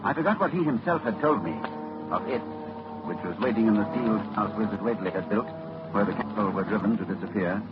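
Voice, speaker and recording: A man's voice: fairly low pitch, fast talker, over loud music, lightly acted. A man playing a grave, driven doctor who narrates his ordeal urgently in a 1940s radio drama. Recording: muffled old radio broadcast, hiss.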